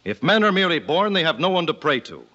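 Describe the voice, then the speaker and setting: A man's voice: a little high, very animated, fast talker, sharp tone, heavily acted. An actor playing a resolute, eloquent revolutionary statesman with formal, declamatory delivery in an old-time radio drama. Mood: earnest. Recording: dull vintage radio recording.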